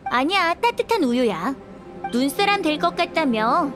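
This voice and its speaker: A woman's voice: sits high, lively intonation, moderate pace, over loud music. An actress playing a proud, aloof young noblewoman knight with a cool, haughty manner and hidden kindness in a video game.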